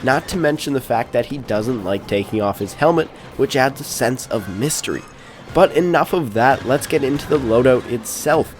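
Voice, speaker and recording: A man's voice: medium pitch, lively intonation, moderate pace, faint music behind. A man with a stuffed-up, congested voice gives polite, scripted commentary over game footage as a channel host. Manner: polite, formal phrasing.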